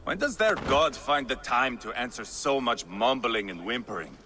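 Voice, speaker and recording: An actor playing a fierce, mocking, bloodthirsty Viking warlord in a video game, with a sharp, taunting delivery. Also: male voice, medium pitch, lively intonation, normal speed, slight background noise. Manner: sneering, taunting. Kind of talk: dramatic video game acting.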